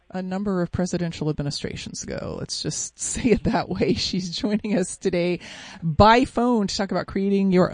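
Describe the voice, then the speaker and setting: A middle-aged woman hosts a podcast in a chatty, upbeat way, often restarting her sentences. Her voice sits fairly low and sounds mellow and warm. It is recorded dry and close, with no room sound. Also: no echo. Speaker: podcast host. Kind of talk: casual interview hosting.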